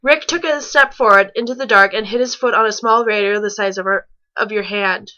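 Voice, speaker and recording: A woman's voice: medium pitch, fairly quick, pushed and bright, dull audio. A woman reading a story aloud, stumbling and rereading lines as she goes and dropping in mocking asides. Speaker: reader on a fan fiction reaction show.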